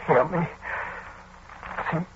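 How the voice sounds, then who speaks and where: An actor playing a grim, secretive German father in an old radio drama, speaking terse, halting broken English with a German accent. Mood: weak, dying. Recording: muffled, noisy old radio recording.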